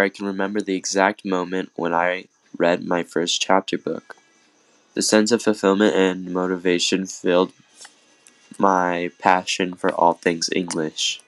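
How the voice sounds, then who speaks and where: Low-voiced teenage boy reading sincerely. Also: a bit bright, no room sound, rough recording. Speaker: student.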